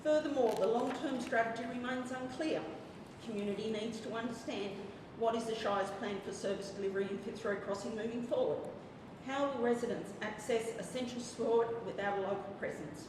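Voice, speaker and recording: A woman's voice: on the high side, a little fast. Nervous woman in an echoey chamber. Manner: formal, earnest, nervous. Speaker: member of the public. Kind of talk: reading a prepared public statement. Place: council chamber. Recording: echoey hall from far off, noisy.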